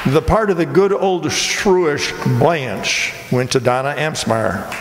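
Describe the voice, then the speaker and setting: A man's voice: fairly high pitch, measured pace, over loud music. A man announcing a radio players' stage show, reading credits in a jokey scripted style. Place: auditorium stage, live before audience. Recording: echoey hall, loud noise.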